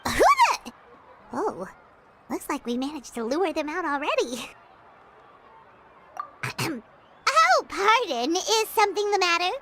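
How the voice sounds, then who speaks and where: A woman voicing a tiny, childlike fairy companion in a video game, squeaky, bubbly and excitable. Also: a little slow, pauses often, a little hiss or hum, heavily acted. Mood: startled, feigning innocence. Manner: refers to herself in third person, bubbly. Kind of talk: animated video game dialogue. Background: music.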